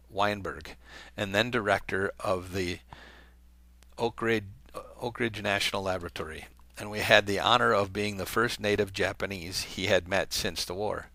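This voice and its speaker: A man's voice: fairly low pitch, pushed and bright, no room sound. A man hosting an online talk channel, reading a long letter aloud to his viewers in a conversational way, with fillers and small self-corrections. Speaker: video channel host.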